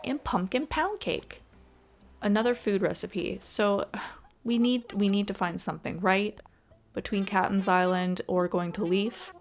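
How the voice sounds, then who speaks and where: A woman giving casual, chatty and expressive voice-over commentary as she plays a video game. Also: mid-range voice, measured pace. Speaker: gaming video creator. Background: background music.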